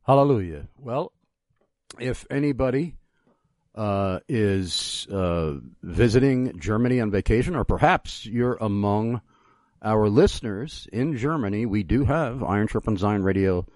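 A male radio host with a low-pitched voice, recorded close and dry. He speaks in a conversational interviewing style, effusive and wordy, often pausing as he wanders into long asides. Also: expressive, a little mellow, no room sound.

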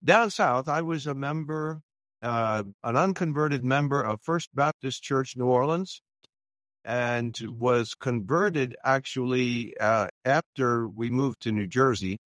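Older man, pastor, earnest, halting, dry close sound. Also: mid-range voice, a bit bright, no echo. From Louisiana, US. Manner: earnest, halting, many fillers and restarts. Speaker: pastor, guest. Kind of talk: personal testimony in a radio interview.

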